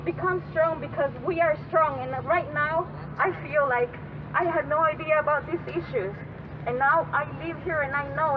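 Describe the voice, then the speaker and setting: Woman, high voice, earnest rally speech, street noise loud behind. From El Salvador. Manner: earnest, plain phrasing. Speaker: rally speaker. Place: outdoor city street rally. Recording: muffled outdoor recording with traffic noise.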